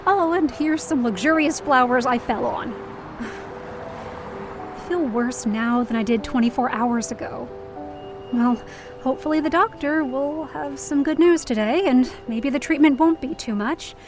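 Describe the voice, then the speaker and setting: A woman's voice: high-pitched, measured pace. An actress playing an anxious young woman who narrates her own story in an audio drama, confiding and self-deprecating. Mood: distraught, defeated. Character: anxious, downcast young woman, daughter of a hospitalized mother. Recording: roomy, distant-sounding voice over music.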